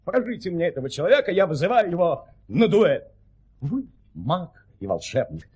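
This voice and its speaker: An actor playing an excitable, reverent count in a radio play, effusive and theatrical, with a husky voice. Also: male voice, fairly high pitch, normal speed. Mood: impassioned. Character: excitable, reverent count, devoted admirer of a baron. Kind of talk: Soviet radio play acting.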